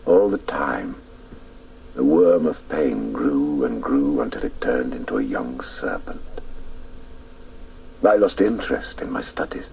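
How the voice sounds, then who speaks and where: An actor narrating a radio drama as a wistful grown man recalling his boyhood in a measured, reflective way. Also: a bass voice, vocal fry, slight background noise, heavily acted, poor audio.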